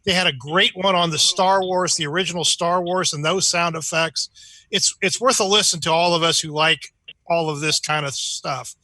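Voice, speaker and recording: A man's voice: fairly high pitch, moderate pace, pushed and bright, dry recording. A man chatting casually as a club member at an online meeting, speaking into a video call microphone. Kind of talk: casual chat.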